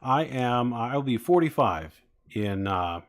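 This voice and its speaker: A man's voice: medium pitch, expressive, takes it slowly. A man in his forties chatting casually with live-stream viewers, rambling with many fillers as he talks. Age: 40s. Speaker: streamer building toy sets.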